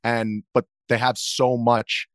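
An American man speaking as an interview guest, explaining things in a measured, conversational way. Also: mid-range voice, little pitch movement, fairly bright tone, no echo, clean studio sound. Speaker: interview guest, video journalist.

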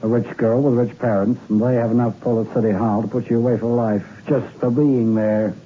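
An actor playing a hard, sarcastic, badgering police lieutenant in a 1960s radio crime drama. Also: male voice, medium pitch, fairly even intonation, brisk, close to an everyday voice. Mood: accusing. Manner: sarcastic, needling interrogator. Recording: tinny, noisy old radio recording.

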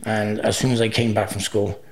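Gravelly Cardiff-accented man, rambling. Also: fairly low pitch, flat delivery, fairly quick. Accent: Welsh, Cardiff. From Wales. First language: Welsh. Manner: rambling, restarts often, easygoing. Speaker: guest. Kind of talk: casual interview chat.

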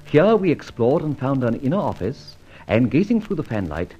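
An actor playing a suave, flippant gentleman adventurer in an old-time radio serial, with breezy old-fashioned slang. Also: mid-range voice, sing-song, moderate pace, breathy edge, soft background music. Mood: brisk narration. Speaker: narrator and lead actor. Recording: muffled vintage radio recording, faint noise.

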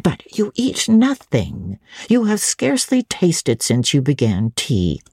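A woman's voice: deep voice, huge pitch swings, takes it slowly, a little mellow, breathy edge, no room sound, clean studio sound, full character voice. A woman voicing an earnest young governess in a classic audiobook, politely inquisitive and eager with her questions. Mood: eager, probing. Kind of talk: dramatic audiobook reading of classic literature.

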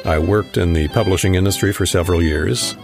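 Leon, a deep deadpan dead man. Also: creaky, music in the background. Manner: deadpan, measured. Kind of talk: audio drama character monologue.

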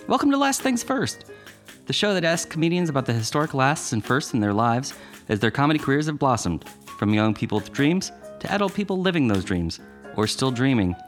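A man's voice: medium pitch, fairly quick, soft background music. A man hosting a comedy interview podcast, reading a playful scripted intro and then asking casual questions. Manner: playful, lively, jokey framing. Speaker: podcast host. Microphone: studio microphone with headphones.

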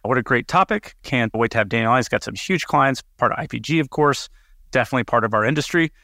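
A man's voice: medium pitch, lively intonation, fast talker, pushed and bright, no echo, studio quality. A man hosting a podcast, chatty and enthusiastic, often restarting words as he talks. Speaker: podcast host. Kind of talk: casual interview hosting.

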